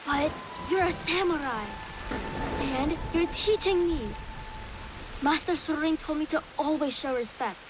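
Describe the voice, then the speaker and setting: High-pitched, earnest young boy. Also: a little slow, noisy background. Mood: eager. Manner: earnest, respectful, boyish. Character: earnest, eager young boy, a samurai's devoted pupil. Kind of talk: video game cutscene acting.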